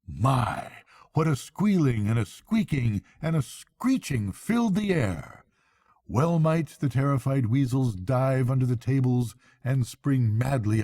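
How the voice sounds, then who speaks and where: An older man narrates a children's bedtime story. He takes his time, letting his pitch rise and fall widely, in a close, dry recording. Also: mellow, no room sound. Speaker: grandfather reading a bedtime story.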